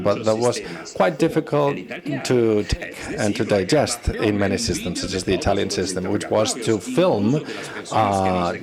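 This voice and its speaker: A man giving a live interpretation of an academic conference talk, speaking haltingly phrase by phrase and often rephrasing. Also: fairly low pitch, creaky.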